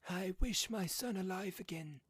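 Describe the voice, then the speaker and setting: A man's voice: high voice, normal speed, a bit bright, slightly rough, pitched above the performer's own voice. Man acting a shaken, fearful old husband. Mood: fearful, shaky. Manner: shaky, alarmed, protesting.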